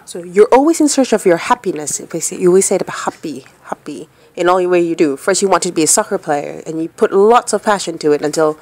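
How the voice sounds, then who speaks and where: A woman's voice: deep voice, a little mellow. A woman asking questions as a radio interviewer in a conversational way.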